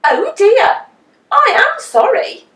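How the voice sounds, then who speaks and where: A woman's voice: very high-pitched, very animated, slow pace, fairly bright tone, voice pushed up, exaggerated voice. A woman voicing scheming storybook townspeople in an exclamatory, emphatic manner. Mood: mock apologetic. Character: scheming townspeople, feigning apology, storybook characters. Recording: roomy echo, distant microphone.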